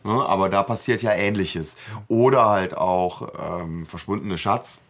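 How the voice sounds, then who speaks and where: A man chats as a podcast host. His pitch is a little on the low side. He comments in loose, everyday conversational speech. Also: a little slow, fairly bright tone. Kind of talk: casual banter and commentary.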